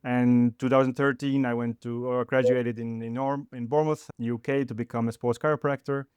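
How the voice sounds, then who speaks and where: A middle-aged man, a sports chiropractor guest answering in a halting podcast interview with many fillers and restarts. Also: medium pitch, flat delivery, a little mellow, clean tone, dry recording. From Estonia.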